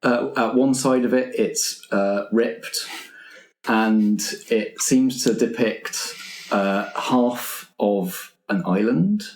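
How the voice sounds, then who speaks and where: Low-voiced man narrating, echoey room. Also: slow pace. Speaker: game master. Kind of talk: improvised narration of a tabletop roleplaying game. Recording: roomy, echoey tabletop recording.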